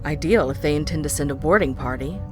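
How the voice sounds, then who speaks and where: A woman's voice: deep voice, quick pace, music in the background, close to an everyday voice. A woman playing a suspicious, blunt starship first officer in a science-fiction audio drama, urgent and wary. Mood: distrustful.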